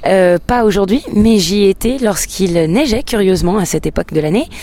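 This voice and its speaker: A woman singer-songwriter answers interview questions, her first language being English. She hesitates often, filling her pauses with ums and starting sentences over. Her voice sits at an ordinary middle pitch. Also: lively intonation, warm tone. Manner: hesitant, many filler sounds and restarts.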